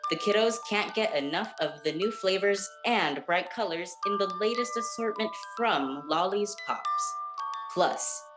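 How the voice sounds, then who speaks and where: A woman's voice: medium pitch, lightly acted. A woman playing a blithely sincere grown-up giving an earnest testimonial in a 1940s-style radio commercial.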